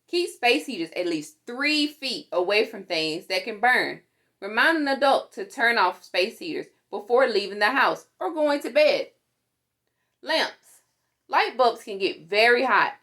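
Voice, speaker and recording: Female storytime reader for children's safety book; higher register, highly animated and instructive. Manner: animated, instructive, acts out sound words. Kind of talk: expressive read-aloud of a children's safety book.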